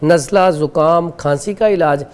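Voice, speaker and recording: A man with a Pakistani Urdu accent, a guest expert on a TV morning show, calmly explaining home remedies step by step. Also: on the high side, measured pace, smooth. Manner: calm, instructive, lists remedies step by step.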